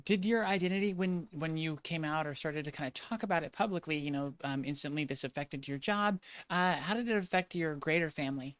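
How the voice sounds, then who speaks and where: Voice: medium pitch, a little fast, hardly any pauses, a bit bright. A podcast host asking a guest interview questions with short listening replies.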